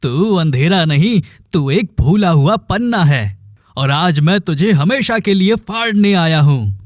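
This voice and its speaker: An earnest young village hero from a cartoon tale, acted by a man, speaks in a fairly high male voice. His delivery is slow, dry and dramatic, that of a determined, devoted brother. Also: lively intonation, no room sound. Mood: resolute. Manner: dramatic, vowing, deliberate. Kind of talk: cartoon story acting.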